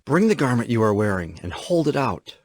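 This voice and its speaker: An actor playing an honorable, resolute landowner of ancient Israel in a solemn dramatized scripture reading. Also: male voice, mid-range voice, sing-song, brisk, no echo, studio quality. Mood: earnest, reassuring.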